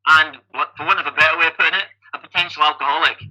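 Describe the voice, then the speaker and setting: A Scottish man in his fifties talks through his story as an interview guest. His voice sits a little high and sounds very bright and forward. He keeps restarting his sentences and repeating the opening word as he goes. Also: fairly even intonation, very fast, low-quality recording. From Scotland. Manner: chatty, repeats words when starting sentences. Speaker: guest athlete and coach.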